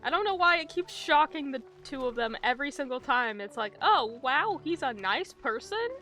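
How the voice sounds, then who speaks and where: A woman's voice: high-pitched, expressive, moderate pace, bright, forceful tone, soft background music. A young adult woman giving casual livestream game commentary, jokey, affectionate and slangy with some swearing. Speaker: streamer.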